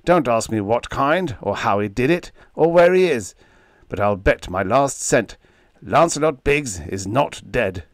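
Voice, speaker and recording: Man playing a gruff older captain, blustery, snapping, low-ish steady voice, dry close sound. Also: measured pace, no room sound. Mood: stern.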